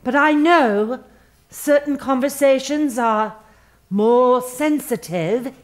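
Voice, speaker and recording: An actress playing a relentlessly upbeat, sanctimonious human resources manager in a stage comedy, gushing with earnest, drawn-out emphasis. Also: female voice, sits high. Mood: careful, earnest. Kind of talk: comedic stage play acting. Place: theatre stage before a live audience.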